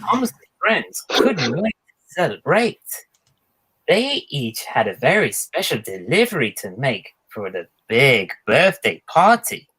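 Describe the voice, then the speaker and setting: A man's voice: fairly high pitch, fairly bright tone, husky, rough recording. A man reading a children's storybook aloud as a narrator. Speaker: storybook reader.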